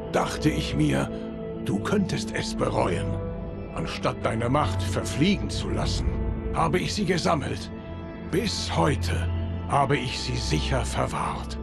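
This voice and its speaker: An actor playing a solemn, loyal guardian of time in video game dialogue, formal, deliberate and grave. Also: male voice, mid-range voice, expressive, creaky. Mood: solemn, measured. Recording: music behind the voice.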